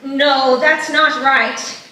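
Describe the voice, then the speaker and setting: A high, bright-voiced teenage girl played by a woman, anxious and lovesick. She pleads urgently in a stage table read, heard at a distance in a very echoey room. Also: little pitch movement, extremely slow, heavily acted. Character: anxious, lovesick sixteen-year-old girl. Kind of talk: screenplay table read before a live audience. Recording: echoey live room, distant, faint noise.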